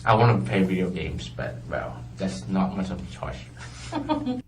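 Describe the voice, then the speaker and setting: A man who is not a native English speaker answers questions slowly and haltingly, searching for words and laughing nervously, in a police interview room. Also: deep voice, huge pitch swings, slight background noise. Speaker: murder suspect being interrogated. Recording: roomy distant interview-room audio.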